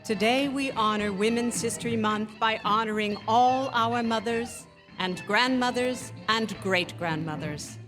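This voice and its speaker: A woman's voice: a little high, measured pace. A woman reading scripted narration as the narrator of a program. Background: music.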